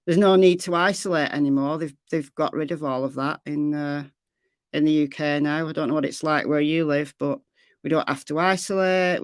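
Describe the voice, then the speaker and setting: A middle-aged woman hosting a true crime livestream, chatting casually with viewers in a rambling way, her voice slightly hoarse. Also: low-pitched, no echo, clean studio sound. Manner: rambling, chatty, trails off into fillers.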